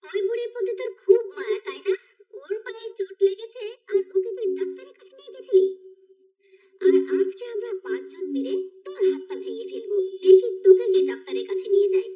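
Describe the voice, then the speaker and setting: A cruel young daughter-in-law from a moral cartoon, acted by a woman, scolds in an extremely high voice. She is bossy and menacing. The sound is thin and phone-like. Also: lively intonation, brisk, far from the mic. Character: cruel, bullying young daughters-in-law threatening an old mother-in-law. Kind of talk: children's moral-story cartoon dialogue. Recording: muffled, narrow phone-like sound.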